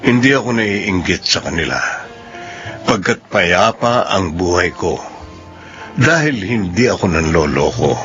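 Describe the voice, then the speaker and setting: An actor playing Adolfo, an honest, hardworking man who speaks earnestly in a radio drama. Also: lowish voice. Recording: muffled radio drama audio with music.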